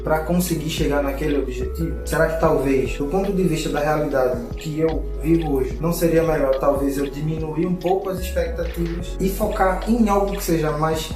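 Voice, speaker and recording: Man, 30s, survival-channel host, fairly high voice, reflective and chatty; roomy, distant sound with music under it. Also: fairly even intonation, normal speed, hardly any pauses. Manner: thoughtful, musing, conversational with frequent rhetorical questions. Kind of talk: reflective solo talk to camera. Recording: echoey room, voice from a distance, clear background music.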